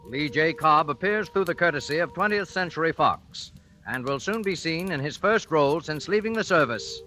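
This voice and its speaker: A man's voice: fairly high pitch, lively intonation, normal speed, pushed and bright, soft background music. An American man announcing a 1940s radio show in formal broadcast style.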